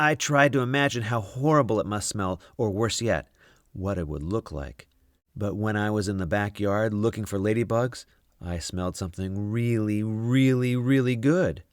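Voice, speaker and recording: Man, children's storybook reader, lower-pitched voice, expressive narration, dry close sound. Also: dry recording.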